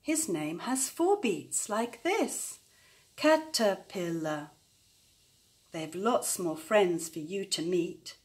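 An older woman reads a picture book aloud for young children. Her voice sits at a middle pitch and moves at a slow pace. She chants rhythmically, leaning on syllables with animated shifts in loudness. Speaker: grandmother reading aloud to children.

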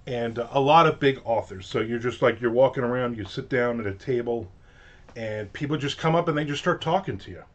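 A man's voice: mid-range voice, brisk. Middle-aged New Jersey man, casual chatty author livestream, home office, slightly muffled webcam sound. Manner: rambling, chatty, lots of fillers and restarts. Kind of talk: casual chat. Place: home office with shelves of collectibles.

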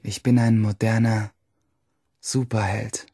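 A man's voice: on the low side, flat delivery, slow, warm tone, slightly rough, no echo, clean studio sound, heavily acted. An actor playing a grandiose, self-mocking teenage nerd loner, narrating his own story dramatically in a radio play. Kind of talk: first-person radio play monologue.

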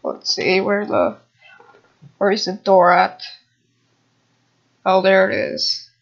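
A gamer talks casually over their own gameplay in a plain, mid-pitched voice. The commentary rambles, with muttered asides to the viewers. It sounds dull and lo-fi, like a home computer microphone. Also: very animated, slow.

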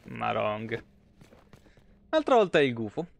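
A man's voice: medium pitch, wildly swooping pitch, measured pace, vocal fry. A man in his thirties with a Roman Italian accent, giving casual, slangy live game commentary and chatting with viewers in rambling asides. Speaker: game streamer.

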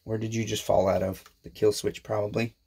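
Husky-voiced man rambling in his workshop. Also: on the low side, flat delivery, brisk, a little mellow. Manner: folksy, talks straight to viewers as neighbors, frequent fillers. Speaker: small engine repair channel host. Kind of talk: casual rambling vlog to viewers. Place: cluttered home workshop, indoors.

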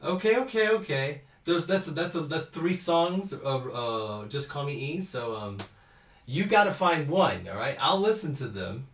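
A man's voice: on the high side, animated, unhurried, fairly bright tone. A man in his thirties from Houston, a cello teacher chatting casually on a live-stream Q&A, reading out viewer comments and laughing often. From Houston, Texas. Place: small indoor room at home. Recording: roomy echo.

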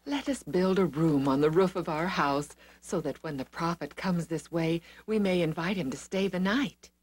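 A woman voicing a hospitable, humble village wife in a Bible story, gentle and earnest. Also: lowish voice, normal speed, close to an everyday voice. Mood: caring.

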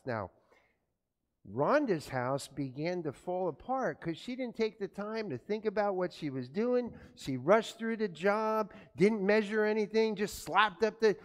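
A pastor giving a children's sermon in a church, telling an animated story and asking the children questions. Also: male voice, a little high. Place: church sanctuary. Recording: echoey church from far off.

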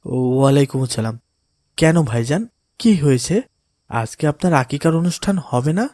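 A man voicing a pious, kindly father in a moral cartoon, giving gentle counsel in a calm, polite, instructive way. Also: mid-range voice, normal speed, no echo, natural voice. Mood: puzzled, polite. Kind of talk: cartoon voice acting in moral story.

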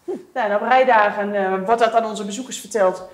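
A woman's voice: mid-range voice, steady tone, brisk. A woman working as a museum guide, explaining things in a friendly way with plenty of hesitations.

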